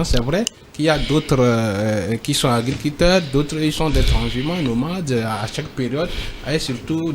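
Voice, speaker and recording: A man speaking as a debate panelist, halting and repeating himself but emphatic. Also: medium pitch, background noise. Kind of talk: debate commentary.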